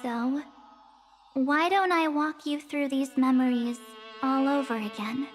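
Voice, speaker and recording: A woman voicing a calm, enigmatic young spirit girl in a video game, speaking in a measured, gentle and solemn way. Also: high-pitched. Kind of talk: English video game voice acting. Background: music with strings.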